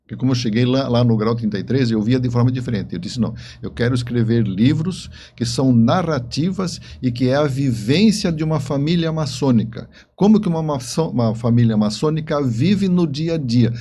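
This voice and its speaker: Man, bright voice, noisy background. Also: mid-range voice, lively intonation, brisk, few pauses. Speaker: guest author. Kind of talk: conversational interview, explaining his books.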